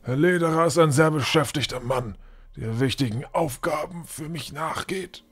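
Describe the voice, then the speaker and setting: A man's voice: fairly high pitch, normal speed, a bit bright, husky. A man acting a pompous, condescending older lord with formal, theatrical courtesy in a fantasy audio drama. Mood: smug, courteous. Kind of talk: fan-made German fantasy audio drama.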